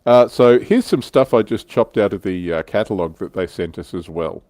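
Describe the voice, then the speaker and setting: Deep-voiced man, dry close sound. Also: expressive, normal speed, a little mellow, no echo. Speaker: livestream host presenting slides. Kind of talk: casual hobby panel chat.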